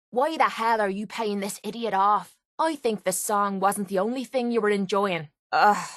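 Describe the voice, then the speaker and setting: A tough young Irish woman brawler, played by a voice actress as a video game companion. She is brash, sarcastic and cocky, speaking bluntly at a medium pitch. The sound is dry and close, without room echo. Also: fairly bright tone, close to an everyday voice. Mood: blunt, impatient.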